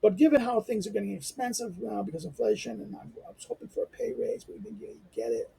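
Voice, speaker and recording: Hoarse, gravelly-voiced man lecturing casually. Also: wildly swooping pitch, quick pace, mellow, rough recording. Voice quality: squeaky. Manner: rambling, many fillers and restarts. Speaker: lecturer and channel host. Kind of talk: informal spoken outro to a reading lecture.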